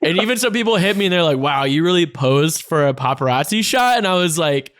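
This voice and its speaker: A man's voice: fairly high pitch, lively intonation, brisk, fairly bright tone, smooth, no echo, studio quality. A man co-hosting a podcast in casual chat, playful and teasing, swearing casually. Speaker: podcast co-host.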